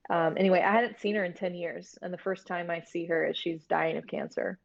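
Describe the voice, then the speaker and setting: An American woman from Florida talking casually and candidly as an interview guest, in a halting storytelling way. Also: fairly low pitch, steady tone, gravelly. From Florida, United States. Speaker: guest, horror series producer. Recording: dull, lo-fi audio.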